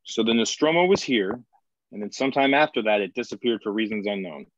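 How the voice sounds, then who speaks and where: Man acting gruff, demanding colony boss, dull lo-fi mic. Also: lowish voice, lively intonation, a little fast, no room sound, lightly acted. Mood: grim. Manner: confrontational, demanding, profane. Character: gruff, foul-mouthed, suspicious male boss of a space colony. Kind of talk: sci-fi screenplay table read.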